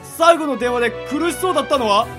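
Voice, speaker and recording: A man playing a hot-blooded, weepy and devoted gangster underling in an amateur radio drama, excitable and stammering. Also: very high-pitched, quick pace, over music. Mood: bewildered.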